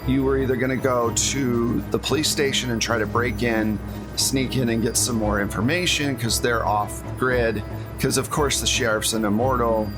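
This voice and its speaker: A man with a slightly higher than average voice hosts a tabletop game as game master. He talks casually, telling the story so far as a spoken recap, with music playing clearly behind him. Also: fairly even intonation, moderate pace.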